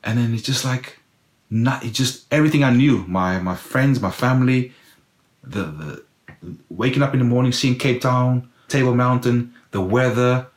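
A man's voice: medium pitch, moderate pace. A middle-aged South African man whose first language is Afrikaans, telling his life story in an interview and acting out his past self with exclamations. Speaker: guest, former footballer. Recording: roomy echo.

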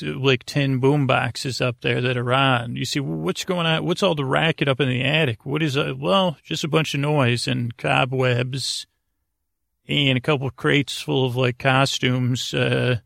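A middle-aged man with a gravelly, creaky voice hosts a meandering bedtime podcast. His pitch stays fairly flat as he halts, backtracks and drifts into tangents. The sound is dry and close, with little room to it. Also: no echo. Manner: meandering, halting, digressive, self-interrupting. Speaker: podcast host. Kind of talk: rambling bedtime podcast monologue.

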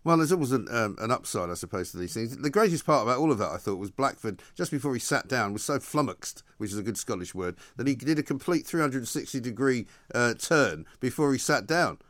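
A man hosts political talk radio, speaking very quickly. His manner is sardonic and dryly mocking, and the sound is close and dry, with no room echo. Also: mid-range voice, animated, a bit bright. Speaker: talk show host.

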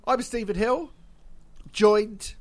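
A man's voice: high-pitched, slow pace. A man hosting a casual podcast, congested from hay fever, wry and chatty. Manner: wry, chatty, laughs at own jokes. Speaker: podcast host.

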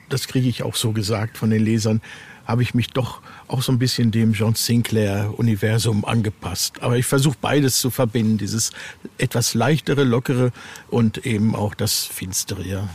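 A man's voice: mid-range voice, normal speed, a little mellow, husky. A man being interviewed as an author, chatting casually and searching for words with repeated phrases.

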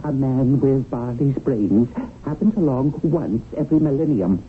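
An actor playing an imperious celestial supervisor in a radio drama, theatrical, insistent and demanding. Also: male voice, mid-range voice, measured pace, lightly acted. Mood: urgent alarm. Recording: tinny, noisy vintage radio broadcast.

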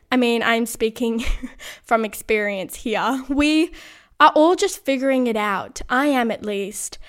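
Slightly croaky young woman podcasting. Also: high voice, fairly bright tone. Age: 20s. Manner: earnest, frequent light laughs. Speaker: podcast host. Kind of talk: casual solo podcast monologue.

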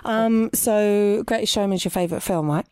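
Mum doing a casual podcast chat with a kid, playful and encouraging, close dry mic. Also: female voice, medium pitch, moderate pace, darkish tone, clear, resonant tone, no echo. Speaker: podcast host and mother. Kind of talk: casual interview with a child.

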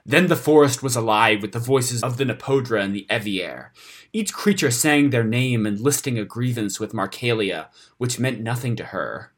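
A man narrates a fantasy story in a dramatic style. His voice sits at a middle pitch and has a husky, rough-edged texture. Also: lively intonation, moderate pace. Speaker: story reader on a podcast.

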